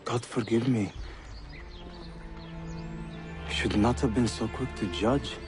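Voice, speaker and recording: A man's voice: mid-range voice, normal speed, music in the background, close to an everyday voice. A man playing a young, earnest Ottoman prince in a video game, formal and articulate. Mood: remorseful. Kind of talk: video game cutscene acting.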